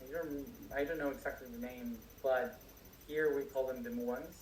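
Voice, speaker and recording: A man answering audience questions as a spiritual teacher, speaking slowly with many restarts and fillers. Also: medium pitch, steady tone, slight background noise. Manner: slow, searching speech with many restarts and fillers. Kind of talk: live Q&A, unscripted. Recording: echoey room, distant mic, faint crickets.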